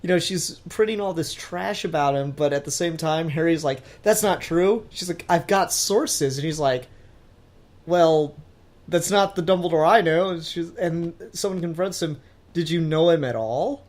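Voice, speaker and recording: A podcast co-host speaks in a medium-pitched voice. The talk is casual and conversational, working analytically through points about fiction. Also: moderate pace, a little mellow.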